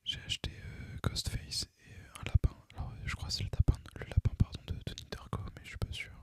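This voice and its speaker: A young man whispers in a casual, hesitant, unhurried ASMR ramble as a gaming channel host. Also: no echo. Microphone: microphone recorded through a phone, voice-over on gameplay.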